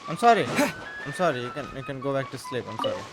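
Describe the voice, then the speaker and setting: A man's voice: medium pitch, big pitch swings, fast talker, over loud music, low-quality recording. A man giving casual gameplay commentary as a let's player, muttering and repeating himself in exasperation.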